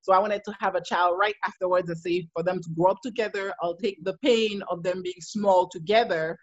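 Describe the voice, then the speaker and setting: A woman in her thirties telling her life story as an interview guest, laughing often and getting animated when she quotes herself. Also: fairly low pitch, a little fast, no room sound. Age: 30s. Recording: dull lo-fi sound.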